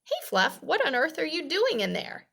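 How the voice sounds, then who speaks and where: A woman's voice: sits high, huge pitch swings, normal speed, creaky, a bit bright, voice pushed up, full character voice. A woman reading a children's storybook, voicing a kind, eager, clumsy big male elephant in an earnest, simple way. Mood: surprised.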